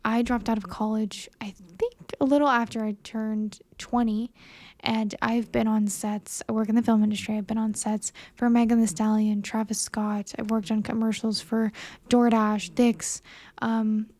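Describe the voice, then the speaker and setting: A woman's voice: a little high, little pitch movement, normal speed, warm tone. A woman in her early twenties giving a candid, earnest and self-conscious monologue to camera in a casual conversational way. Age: early 20s. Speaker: vlogger, young filmmaker.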